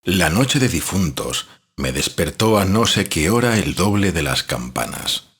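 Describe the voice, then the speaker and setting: Deep, very raspy actor as gothic narrator. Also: male voice, sing-song, unhurried, fairly bright tone, full character voice. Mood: brooding, ominous. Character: brooding gothic writer narrating a chilling old legend. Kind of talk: scripted dramatic narration.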